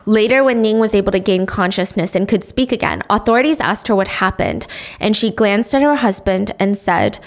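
A young woman hosting a podcast, telling a true-crime story in a casual, animated way and acting out the quotes. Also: medium pitch, normal speed. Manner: chatty, animated, acts out quotes with shifts in tone. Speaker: podcast host.

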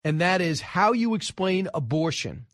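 Higher-voiced American man, live radio host. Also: big pitch swings, unhurried, fairly bright tone, no room sound, clean studio sound. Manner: conversational, rambling, self-correcting. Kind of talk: live talk radio commentary. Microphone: close studio microphone.

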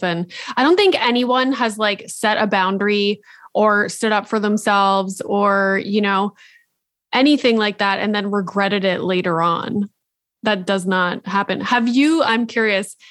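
An upbeat woman hosts a podcast, asking curious questions and reacting quickly. Her voice sits at a middle pitch, and the recording is dry and close to the mic. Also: a bit bright, no echo. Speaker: podcast host. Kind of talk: casual interview.

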